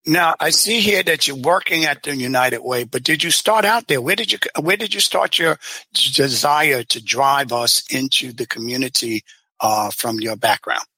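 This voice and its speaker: A man's voice: medium pitch, moderate pace, pushed and bright, breathy edge, no echo. A man hosting a community podcast, welcoming and questioning a guest in a courteous way with small restarts. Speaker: podcast host.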